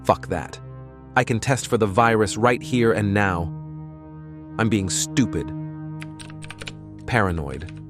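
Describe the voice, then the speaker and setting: A man voicing an anxious, self-doubting survivor muttering to himself in an audio comic. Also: lowish voice. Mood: anxious denial. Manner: brooding, halting, self-talk. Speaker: voice actor. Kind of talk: audio comic dub, dramatic monologue. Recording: clear music behind the voice.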